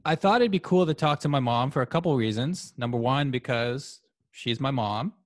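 A man's voice: mid-range voice, moderate pace, no echo, studio quality. A young man from Canada hosting a podcast, talking casually and interviewing a guest with friendly questions. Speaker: podcast host.

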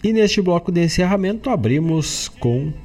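A man's voice: sits high, lively intonation, moderate pace, dark tone, breathy edge. A man with a southern Brazilian gaúcho accent announcing as a radio host. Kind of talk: radio announcing, reading a playlist.

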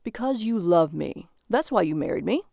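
A woman's voice: fairly low pitch, animated, moderate pace, creaky, warm tone, no room sound, clean studio sound. A woman voicing a childish, hapless husband who protests with eager, indignant emphasis. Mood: stubborn.

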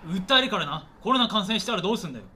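A man playing a cornered, resentful male commuter in a radio drama, talking in rough slang, whining and belligerent as he defends himself. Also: high-pitched, animated, racing, pushed and bright, slight background noise, exaggerated voice. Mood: panicked, defensive. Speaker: actor in an amateur radio drama. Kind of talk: naturalistic radio drama dialogue.

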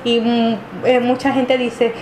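A woman from Venezuela answers interview questions at length, pausing and restarting as she searches for words. Her voice sits a little high. The recording is roomy, with a clear noise bed behind her. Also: level tone, a little mellow. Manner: hesitant, many fillers and restarts. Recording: echoey room with clear background noise.

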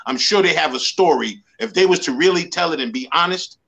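A man's voice: a little high, expressive, a little fast, vocal fry, fairly bright tone. A man in his sixties from the Bronx, a motivational speaker telling his story earnestly in an interview. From New York, the Bronx. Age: 60s. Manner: earnest, emphatic storytelling with repeated slogans. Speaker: guest, motivational speaker and life coach. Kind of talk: interview conversation.

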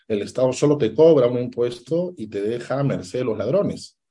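A man, a political analyst answering interview questions, deliberate and sometimes stopping to search for a word. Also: mid-range voice, expressive, mellow, breathy edge. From Peru. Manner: deliberate, stops to search for words.

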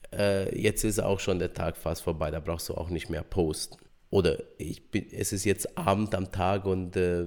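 A man hosting a solo podcast, hoarse from a cold, talking casually with many hesitant filler sounds. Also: lowish voice, a little mellow. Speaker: podcast host.

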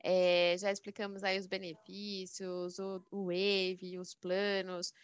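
Smooth-voiced woman hosting a podcast. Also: medium pitch, little pitch movement, slow pace, dry recording. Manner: friendly, curious questioner with "né?" tags. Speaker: podcast host. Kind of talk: podcast interview.

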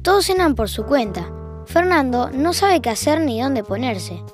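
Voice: sits high, sing-song, moderate pace. A young-sounding performer with an Argentine accent, narrating a scripted audio drama as a wry storyteller. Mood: measured, wry. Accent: Argentine Rioplatense. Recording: music behind the narration.

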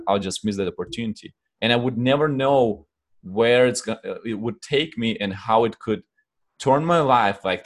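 A man from Ukraine with a Ukrainian accent, answering interview questions in a reflective way, often restarting and rephrasing. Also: mid-range voice. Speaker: guest.

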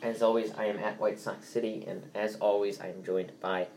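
A man's voice: fairly low pitch, flat delivery, fairly quick, a little mellow, a little hiss or hum, low-quality recording. A young man hosting a casual sports podcast, sounding stuffy from allergies and rambling with many fillers and restarts. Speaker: podcast host.

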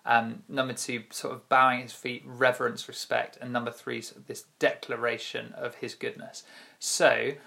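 A man leading a casual church devotional, relaxed with many pauses and fillers, joking with his co-host. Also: medium pitch, creaky. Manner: relaxed, many ums, playful banter. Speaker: youth church leader.

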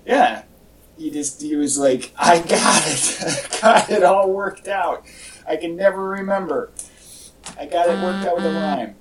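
A man's voice: on the high side, a little slow, background noise. A man hosting a podcast in casual, rambling chat with a wry, joking manner. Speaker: podcast host. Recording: distant mic, echoey in parts.

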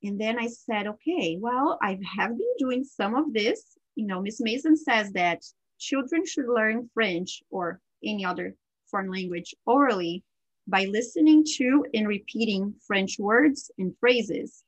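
A woman whose first language is Portuguese, co-hosting a podcast in casual chat, talking freely with many fillers and quick laughs. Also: medium pitch, expressive, no room sound, rough recording. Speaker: podcast co-host.

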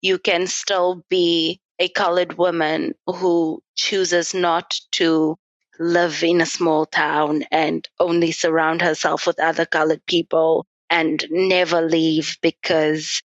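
A woman's voice: deep voice, flat delivery, slow pace, dry recording, dull audio. A young woman from South Africa, a podcast guest, talking thoughtfully and earnestly about her identity. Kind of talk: reflective podcast interview.

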